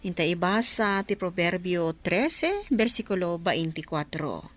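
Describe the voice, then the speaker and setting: A woman radio host with an Ilocano accent giving a measured, formal reading. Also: on the low side, animated.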